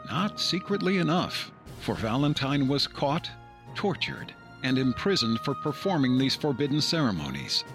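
A man narrating as host in a scripted storytelling style, with dramatic, deliberate phrasing. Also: mid-range voice. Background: plucked guitar music.

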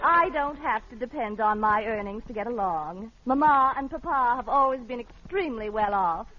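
A woman's voice: on the high side, expressive, normal speed, some chatter behind. A woman playing a haughty, catty, well-off rival teacher, condescending and falsely sweet, in a vintage radio sitcom. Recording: muffled old radio broadcast, studio audience.

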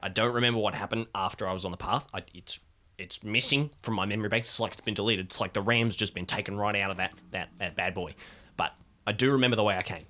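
Male role-player, somewhat low pitch, casual halting improvised narration. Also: steady tone, sharp tone. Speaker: tabletop role-play participant. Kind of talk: improvised character narration and dialogue.

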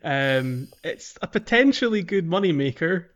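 A man co-hosting a casual gaming podcast, talking in a halting way with thoughts that trail off. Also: on the high side, lively intonation, a bit bright. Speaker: podcast co-host.

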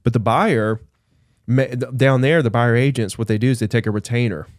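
A man who works as a real estate agent, talking in an animated, chatty panel debate with lots of fillers. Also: mid-range voice, brisk, no echo, studio quality.

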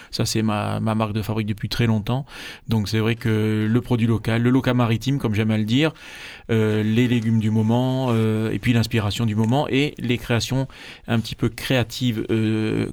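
A middle-aged man, a chef guest on a radio show, speaking in a relaxed and hesitant way with many fillers. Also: fairly low pitch. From Marseille, France. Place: radio studio.

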